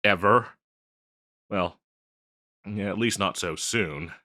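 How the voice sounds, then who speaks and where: Guy playing a flustered harpy boyfriend, deep bright voice, stammery n apologetic, intimate audio rp. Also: takes it slowly, no room sound, studio quality. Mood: embarrassed, apologetic. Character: flustered, self-conscious young male harpy boyfriend, tender and apologetic. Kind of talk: scripted audio roleplay, intimate one-sided dialogue.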